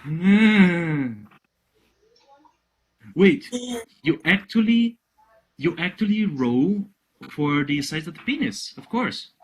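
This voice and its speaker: A speaker with a mid-pitched voice chats casually and jokingly. The delivery is sardonic and rambling, with swearing thrown in freely. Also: expressive, slow, long pauses, poor audio. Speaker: co-reader giving commentary.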